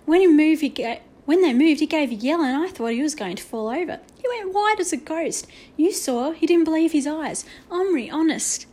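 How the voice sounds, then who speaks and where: An anxious schoolboy from a storybook, as a woman reader acts him out, pleading his case. The voice is small and pitched high, with an insistent, self-justifying manner. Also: animated, takes it slowly, hardly any pauses, full character voice. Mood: anxious, defensive.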